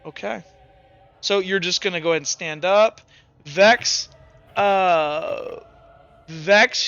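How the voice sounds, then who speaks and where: A man's voice: high-pitched, bright, forceful tone, highs cut off. A man acting as game master in a tabletop roleplaying game, talking casually and swearing freely. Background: background music.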